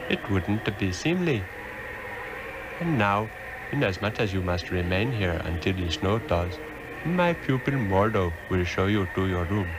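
An actor playing a wise, aged mystic master in an old radio drama, speaking solemnly and formally. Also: male voice, low-pitched, expressive, unhurried, noisy background. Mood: knowing, calm. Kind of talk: 1960s radio drama acting. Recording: old radio drama recording under loud music.

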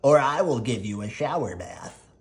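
A reader acts out a little storybook elephant. The voice is loud and demanding, with a threatening edge. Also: medium pitch, animated, normal speed, natural voice.

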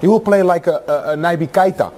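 A man's voice: high-pitched, brisk, darkish tone. A man who is a football pundit, breaking down tactics in a TV studio on a lapel mic, emphatic and prone to repeating himself. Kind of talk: studio match analysis.

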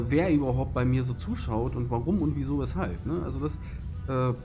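A man's voice: mid-range voice, darkish tone. A man giving rambling, filler-heavy gameplay commentary as a let's play host. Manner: chatty, filler-heavy, self-deprecating.

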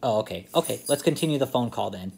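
A man's voice: mid-range voice, animated, fast, gravelly, a little mellow. A man in his thirties, talking on a phone call in a polite, hesitant way, recorded close up indoors at home. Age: 30s. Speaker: scam-baiter vlogger. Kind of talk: phone conversation with asides to camera. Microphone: phone or laptop camera close in front.

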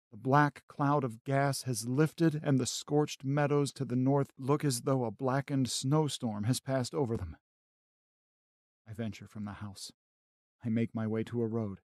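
A man playing a haunted astronomy professor and lone survivor, narrating his journal in a brooding, deliberate, theatrical way. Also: mid-range voice, darkish tone, dry recording, clean studio sound. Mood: startled relief, then wary resolve. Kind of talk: dramatic first-person journal narration.

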